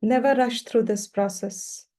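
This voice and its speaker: A woman guiding a meditation as a teacher, speaking gently and without hurry in short, spaced phrases. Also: fairly high pitch, steady tone. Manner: gentle, unhurried, pausing between short phrases. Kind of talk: guided meditation instruction.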